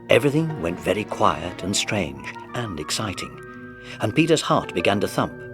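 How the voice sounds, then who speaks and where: A man narrating a children's mystery story from a script. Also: fairly low pitch. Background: music.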